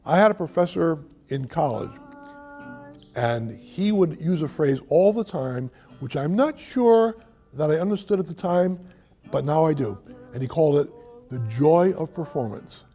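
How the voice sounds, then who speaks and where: A man, a theater teacher and director, speaking in a measured, reflective way in an interview. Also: a little high, animated, dark tone, soft background music.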